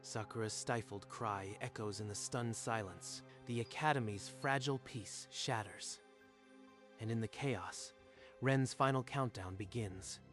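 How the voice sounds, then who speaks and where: A man's voice: fairly low pitch, measured pace, fairly bright tone. Male narrator with dramatic delivery. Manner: dramatic storytelling. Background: faint music.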